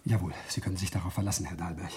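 An actor playing a polite, hesitant and obliging office employee in a 1970s radio crime drama. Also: male voice, low-pitched, steady tone, very fast, a little mellow, slight background noise, natural voice. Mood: subdued, obliging.

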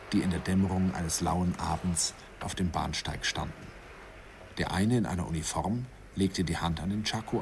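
An actor narrating a radio play as a grown man fondly recalling his youth, softly and wistfully, sometimes whispering. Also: low voice, slight background noise.

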